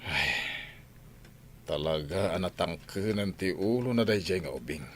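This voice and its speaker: A man's voice: deep voice, lively intonation, a little slow, a little hiss or hum. A man playing a worried, exasperated father and master of the house in an Ilocano radio drama, with an Ilocano accent. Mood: stern.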